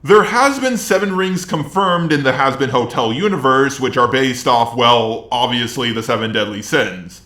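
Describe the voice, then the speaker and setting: A man's voice: mid-range voice, expressive, moderate pace, few pauses, pushed and bright. A man giving informal review commentary with wry, joking asides. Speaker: video reviewer. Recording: roomy, echoey sound.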